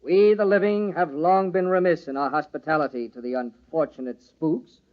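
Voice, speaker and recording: An actor playing a jovial party host with a secret lover, in theatrical old-time radio style. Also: male voice, on the high side, steady tone, moderate pace, natural voice. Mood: mock-grand, playful. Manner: theatrical mock-pompous speechmaking, hushed urgency in private. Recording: dull old radio recording, organ music behind.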